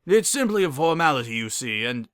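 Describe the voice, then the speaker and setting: A man's voice: mid-range voice, sing-song, a little fast, fairly bright tone, clear, resonant tone, pitched above the performer's own voice. A man voicing a formal, courteous noble unicorn father in a stately manner.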